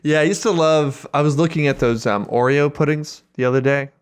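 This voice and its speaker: A man's voice: fairly high pitch, a little fast, clean tone. A man chatting casually and jokingly as a podcast co-host, using slang and the odd swear word. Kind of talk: casual comedic chat.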